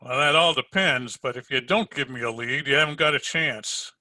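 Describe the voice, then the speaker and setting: Man playing a bright, sardonic radio private eye. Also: mid-range voice, moderate pace, no room sound, poor audio. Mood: pressing, sardonic. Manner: sardonic, needling, slangy. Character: wisecracking, sardonic male private detective narrator. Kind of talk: old-time radio detective narration and acting. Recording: home recording over video call.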